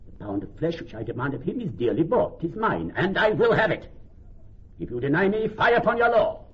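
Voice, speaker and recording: A bitter moneylender, wildly swooping delivery. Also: male voice, a little high, sing-song, a little fast, slightly rough, full character voice. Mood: scornful, defiant. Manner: theatrical, biting, emphatic delivery. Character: vengeful, defiant male moneylender with bitter scorn. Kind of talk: Shakespearean radio drama acting. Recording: muffled, faintly noisy old broadcast recording.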